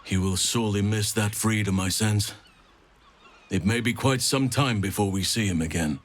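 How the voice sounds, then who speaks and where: A man's voice: lowish voice, normal speed, a little hiss or hum, natural voice. Actor as a suave, courtly male adventurer. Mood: grave. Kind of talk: video game cutscene acting.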